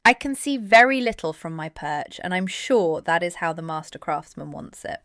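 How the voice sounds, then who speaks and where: A woman as a composed, lowish-voiced Victorian companion. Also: animated, moderate pace, fairly bright tone, no room sound, clean studio sound. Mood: warmly supportive. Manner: supportive, composed. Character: kind, observant, quietly wry female Victorian companion. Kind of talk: audio drama acting.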